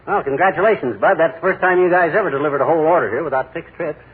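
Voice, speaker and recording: A man doing a bragging, blundering husband from a 1940s radio sitcom. He fires off wisecracks in a voice pitched a bit high. The sound is thin and narrow, with a faint hiss behind it. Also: rapid-fire, natural voice. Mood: brash. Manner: brash, wisecracking. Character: boastful bumbling middle-aged husband. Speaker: comic lead of a radio sitcom. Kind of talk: 1940s radio comedy acting. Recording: noisy 1940s radio broadcast, lo-fi.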